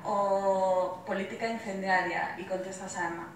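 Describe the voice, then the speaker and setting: A woman moderating a panel, briskly stepping in to redirect the speakers. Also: medium pitch, fairly even intonation, slight background noise. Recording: echoey hall, distant mic.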